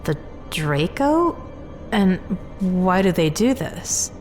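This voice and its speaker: Animated, probing female scientist. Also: fairly low pitch, slow, faint music behind. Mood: puzzled. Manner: inquisitive, questioning. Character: earnest, probing female scientist, openly curious. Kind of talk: scripted sci-fi audio drama.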